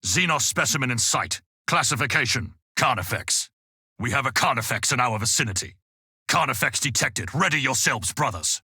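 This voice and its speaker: An actor playing a disciplined space marine tactician, clipped and technical, in a video game. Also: male voice, medium pitch, lively intonation, moderate pace, bright, forceful tone, breathy edge, no echo, clean studio sound, heavily acted. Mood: alert. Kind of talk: video game combat barks.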